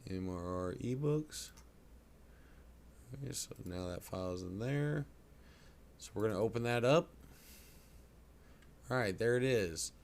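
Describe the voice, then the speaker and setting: Very deep-voiced man giving a casual tutorial. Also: lively intonation, measured pace, long pauses. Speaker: tutorial presenter. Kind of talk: casual screen-recorded software tutorial. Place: indoors at a computer. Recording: clear background noise.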